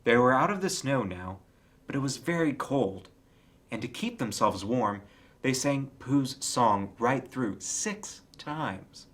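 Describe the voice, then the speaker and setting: A man reading a children's story aloud as an expressive scripted narrator. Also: on the low side. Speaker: storybook reader.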